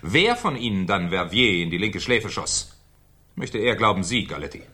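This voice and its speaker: A shrewd commissioner questioning suspects in a vintage radio crime drama. His voice sits slightly low with a husky grain. He is formally polite yet sardonic and insistent. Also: sing-song, normal speed, sharp tone, full character voice. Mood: accusing, confident. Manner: probing, sardonic, formally polite, hesitating with 'äh'. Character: shrewd, insistent male French police commissioner interrogating suspects. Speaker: actor in a radio crime play. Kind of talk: 1970s German radio drama acting. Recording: 1970s studio radio play recording.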